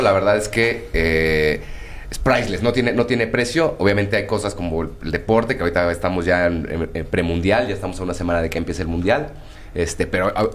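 Low-voiced hesitant male radio co-host. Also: a little fast, slight background noise. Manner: hesitant, repeats words while thinking. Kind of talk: casual radio talk.

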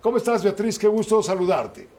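A man's voice: high-pitched, animated, brisk, warm tone. A man with a Mexican accent hosting a political interview, asking pointed, teasing questions. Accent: Mexican Spanish. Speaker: radio host.

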